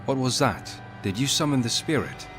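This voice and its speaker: An actor playing a steady, protective warrior hero in a video game, terse and direct. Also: male voice, medium pitch, normal speed, lightly acted. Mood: concerned. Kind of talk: video game character dialogue. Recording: music behind the voice.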